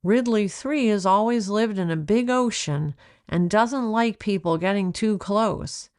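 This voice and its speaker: A woman voicing a patient, knowledgeable female turtle rescuer in a storybook, explaining things gently. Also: medium pitch, measured pace, no room sound, clean studio sound, lightly acted. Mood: gentle, instructive.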